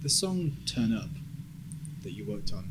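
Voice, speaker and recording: A man's voice: lowish voice, big pitch swings, a little slow. Male interviewer with noisy ambience behind him. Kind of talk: conversational interview questions. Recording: clearly noisy background ambience.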